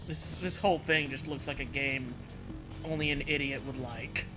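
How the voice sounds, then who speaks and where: A man's voice: medium pitch, fairly even intonation, moderate pace, music in the background. A man making casual, sweary, mocking comic remarks as a co-host. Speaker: co-host heckling the reading.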